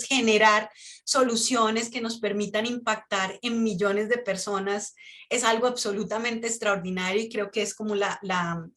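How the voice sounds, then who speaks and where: A woman's voice: medium pitch, fairly bright tone. A woman from Colombia with a Colombian accent, giving an earnest, reflective motivational talk to young people at a workshop. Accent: Colombian Spanish. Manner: earnest, reflective, with frequent restarts. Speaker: workshop speaker.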